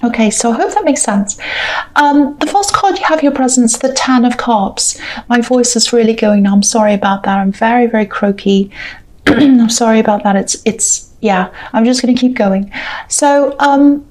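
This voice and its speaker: A woman with a fairly high voice gives a tarot reading directly to her viewer. She talks in a soft, reassuring manner and pauses often to feel her way toward the next thought. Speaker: tarot reader. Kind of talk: intuitive tarot reading to camera.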